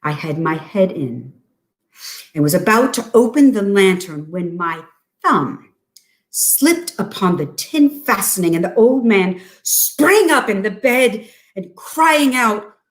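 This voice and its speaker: A woman giving a theatrical gothic horror reading as a cunning, gleefully mad murderer, with deliberate halting phrases and sudden emphasis. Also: medium pitch, very animated. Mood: gleeful, suspenseful. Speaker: reader at a dramatic reading.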